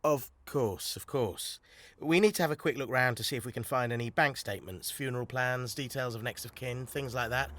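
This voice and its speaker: An actor playing a brisk, experienced male council house-clearance officer in a naturalistic audio drama. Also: medium pitch, fairly bright tone, close to an everyday voice. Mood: polite, businesslike. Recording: background noise with ambience.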